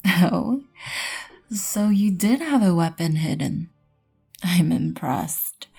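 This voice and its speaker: Vampire queen, female actor, ASMR roleplay: slow, mellow, breathy near-whisper, haughty, poised and composed. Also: fairly low pitch, full character voice. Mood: coolly threatening. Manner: poised, haughty, measured, soft-spoken. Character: regal, composed female vampire queen, proud and wryly merciful.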